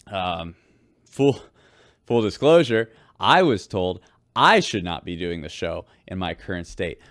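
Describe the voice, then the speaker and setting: A weary male radio host reporting from a spaceship in a sci-fi drama. His voice is low and closely recorded with a dry sound. He is wry and measured as he delivers the news. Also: clear, resonant tone, dry recording.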